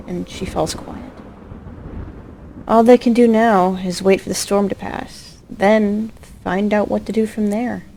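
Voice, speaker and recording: Female narrator for audio drama, theatrical and emotive storytelling, mid-pitched voice, music underneath. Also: gravelly, warm tone.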